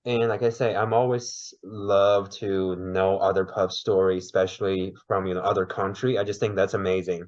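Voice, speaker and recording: A man's voice: sits low, normal speed, hardly any pauses, muffled recording. Man with a Mandarin Chinese accent. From China. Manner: lively, searching for words. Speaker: podcast guest. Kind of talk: casual interview chat.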